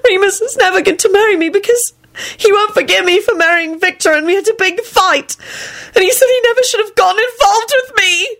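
Woman acting an overwrought young woman, high-pitched. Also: a little fast, a bit bright. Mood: wailing. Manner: snappish, wailing when upset. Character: emotional, irritable young woman, easily wounded.

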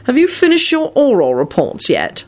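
A woman voicing a stern, sarcastic man with a slow, drawling, cuttingly polite delivery in a story reading. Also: high-pitched, wildly swooping pitch, voice pushed up. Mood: bored.

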